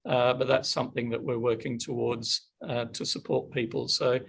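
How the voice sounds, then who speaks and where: A man's voice: medium pitch, level tone, moderate pace, gravelly, husky. A middle-aged man hosting a webinar Q&A on a video call, chatty and upbeat. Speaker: webinar host. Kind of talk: casual webinar Q&A.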